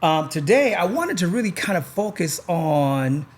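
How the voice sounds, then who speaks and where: A man hosting a podcast, talking in a relaxed, rambling way with lots of pauses and fillers. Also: on the high side. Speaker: podcast host. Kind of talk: casual chat.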